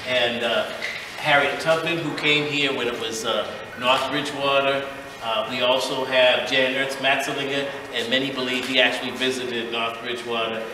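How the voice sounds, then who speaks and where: Older guy giving a ceremony speech, deliberate w/ pauses, far from mic, noisy roomy hall. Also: medium pitch, steady tone. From Massachusetts, USA. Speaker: guest speaker, retired teacher and community leader. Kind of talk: semi-formal ceremony speech. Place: large echoey indoor event room. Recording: echoey hall, distant mic, background noise.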